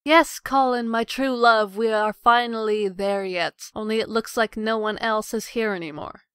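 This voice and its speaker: A woman's voice: on the high side, fairly even intonation, normal speed, a bit bright, clear, resonant tone, no room sound, clean studio sound, lightly acted. A woman voicing a sarcastic, mocking younger sister. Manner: sarcastic, teasing.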